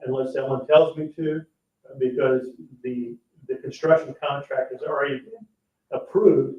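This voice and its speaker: A man's voice: mid-range voice, steady tone, moderate pace. Man explaining in an echoey meeting room. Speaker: town official explaining expense approvals at a board meeting. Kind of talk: explaining in a public board meeting. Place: indoor town board meeting room. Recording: echoey, muffled meeting room, distant mic.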